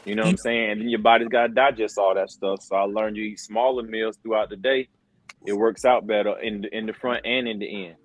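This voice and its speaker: A man's voice: on the low side, steady tone, fairly quick, poor audio. Laid-back man in his forties. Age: 40s. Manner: laid-back, laughing, slangy storytelling. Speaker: podcast guest, wrestling commentator. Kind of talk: casual podcast chat.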